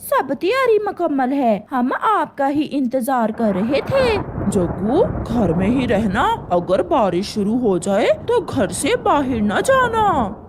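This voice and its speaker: A woman's voice: sits high, sing-song, slow, background noise, full character voice. A woman voicing a stern, practical father crow with a firm, instructing manner in a children's cartoon.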